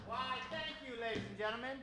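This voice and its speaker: A man's voice: very high voice, fairly quick, pushed and bright, faint music behind. A man hosting a live improvised radio show on a theater stage, showy and mock-formal in an old-time radio manner, joking with the crowd. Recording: echoey theater stage recording.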